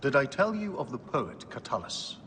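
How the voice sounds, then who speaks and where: A man's voice: medium pitch, very animated, normal speed, a bit bright. A man playing an urbane, arrogant middle-aged Roman ruler in a video game cutscene. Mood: wry, smug. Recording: long hall reverb, faint background noise.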